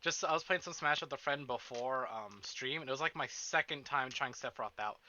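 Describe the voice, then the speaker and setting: A man chatting casually to livestream viewers in an animated, self-interrupting, playfully profane way, as host of a game stream. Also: medium pitch, a little fast, muffled recording, a little hiss or hum. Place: indoors at a desk.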